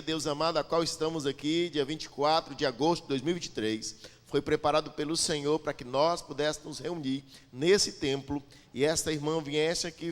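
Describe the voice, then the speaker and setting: A man's voice: fairly high pitch, in a large hall. A man pastor introducing a guest preacher and leading a fervent prayer to the congregation, repeating invocations of the Father and God. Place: church sanctuary with a PA.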